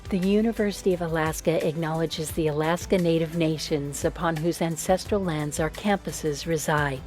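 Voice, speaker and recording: Woman narrator, measured formal read, lowish voice over soft music. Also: steady tone. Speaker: narrator of a land acknowledgement. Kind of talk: scripted narration.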